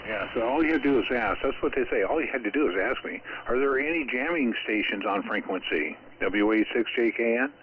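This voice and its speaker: A man chatting casually as an amateur radio operator, in a rambling, halting way. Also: medium pitch, lively intonation. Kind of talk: casual ham radio chat. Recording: dull, faintly noisy amateur radio transmission.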